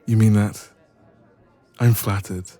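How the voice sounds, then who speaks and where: A man's voice: lowish voice, slow. A man playing a playful, teasing young best friend in a romantic roleplay audio, chatting casually and intimately. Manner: playful, teasing, expressive, soft laughs.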